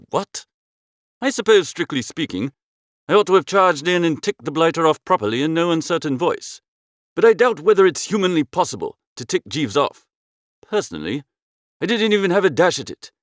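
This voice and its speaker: A man narrating a comic first-person story in an expressive, breezy and theatrical way. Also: fairly high pitch, no room sound, studio quality. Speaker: audiobook narrator.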